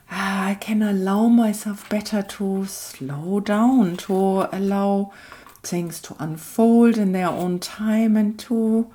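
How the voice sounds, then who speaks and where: A woman gently narrating a craft tutorial as host, unhurried and reflective, often trailing off toward a near-whisper. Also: medium pitch. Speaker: host of an art collage channel.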